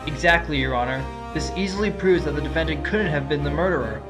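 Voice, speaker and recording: A scrappy fox defense attorney acted by a man, with a lightly pitched voice. He argues pointedly and with determination in a courtroom audio drama, over clearly heard music. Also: fast talker, close to an everyday voice. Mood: confident, pressing. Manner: pointed, argumentative. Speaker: voice actor in fan audio drama. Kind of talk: courtroom drama acting with inner monologue.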